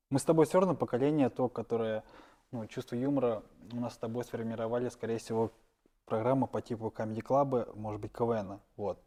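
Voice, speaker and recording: A young man, a stand-up comedian, answering interview questions casually and letting his phrases trail off quietly. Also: medium pitch.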